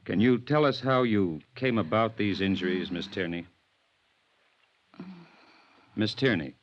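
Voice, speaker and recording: Actor as gruff, deep-voiced police sergeant. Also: male voice, slight background noise, lightly acted. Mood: official, reluctant. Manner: plain, deliberate, laconic. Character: gruff, weary male police sergeant questioning witnesses. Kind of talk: 1950s radio drama acting. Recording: dull old radio recording.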